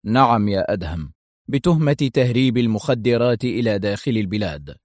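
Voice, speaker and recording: A man's voice: on the low side, lively intonation, brisk, darkish tone, no echo, clean studio sound, natural voice. A man voicing a stern, exasperated male intelligence director who speaks with authority and warning in an audiobook thriller.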